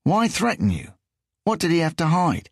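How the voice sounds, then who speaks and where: A man's voice: fairly high pitch, huge pitch swings, moderate pace, no echo, clean studio sound, voice pushed up, heavily acted. A man voicing a nosy, nervous burglar with an English accent and a whiny tone. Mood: prying. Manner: whiny, questioning.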